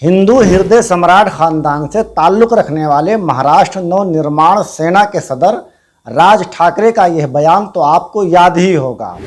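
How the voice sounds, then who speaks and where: A man delivering scripted political commentary in a formal, rhetorical, pointed manner. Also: sits high. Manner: rhetorical, pointed questioning, formal Urdu-laced wording. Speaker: political commentary channel host.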